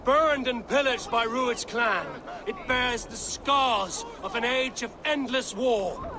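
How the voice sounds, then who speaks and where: Resolute Viking warrior leader, noisy surroundings. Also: male voice, very high voice, slow pace. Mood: rousing oratory. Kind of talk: dramatic video game acting.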